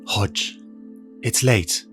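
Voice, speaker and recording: A man's voice: extremely slow, a bit bright, faint music behind. A man playing a bitter, brooding, wealthy fallen superhero with a sneering, curt manner in an audio drama. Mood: cold resentment.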